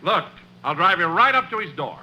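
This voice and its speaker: Sharp, animated jovial American. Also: male voice, sits high, fairly quick, heavily acted. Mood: insistent. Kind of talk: 1950s radio comedy acting. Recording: faintly noisy 1950s radio recording.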